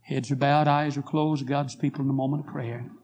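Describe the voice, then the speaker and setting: A hoarse man preaching a revival sermon in a church, telling a story and building to fervent appeals. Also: mid-range voice, level tone, brisk, slight background noise. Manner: rising to fervent pleas, then quiet prayer. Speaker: guest preacher. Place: church sanctuary.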